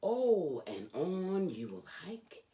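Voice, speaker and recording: A woman reading a children's storybook aloud as host, with theatrical, emphatic delivery and drawn-out phrases. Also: fairly low pitch, sing-song, dark tone, slight background noise.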